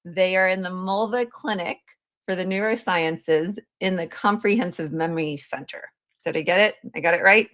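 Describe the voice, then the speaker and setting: A woman's voice: on the low side, moderate pace, fairly bright tone. Enthusiastic, chatty woman hosting. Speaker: podcast host. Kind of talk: livestream interview hosting.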